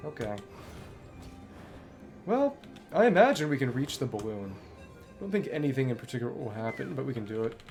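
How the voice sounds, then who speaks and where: A man giving casual solo commentary as a game streamer, musing aloud in short, halting remarks. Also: mid-range voice, big pitch swings, a little mellow, soft background music.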